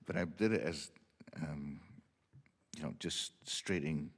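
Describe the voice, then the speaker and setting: A man with a low voice answers from the panel with keen enthusiasm. He tells his stories eagerly. The sound trails off in a hall with a long reverb. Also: takes it slowly, fairly bright tone. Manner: enthusiastic storyteller. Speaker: panelist, performer and teacher. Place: symposium hall.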